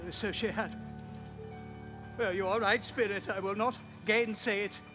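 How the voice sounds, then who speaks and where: A man's voice: sits high, steady tone, unhurried, long reverb tail, music in the background. A man playing old Scrooge in a storybook reading, wistful and given to emotional exclamations.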